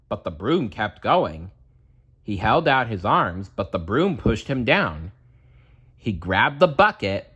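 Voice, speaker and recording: A man narrating a children's storybook aloud in a dramatic, deliberate storytelling manner. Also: mid-range voice, a bit bright, breathy edge.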